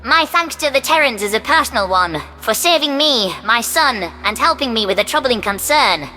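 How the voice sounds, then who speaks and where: A man's voice: extremely high pitch, expressive, moderate pace, bright, forceful tone, soft background music, heavily acted. A man voicing a humble, grateful alien governor giving a formal speech in a sci-fi audio story, with long hall reverb.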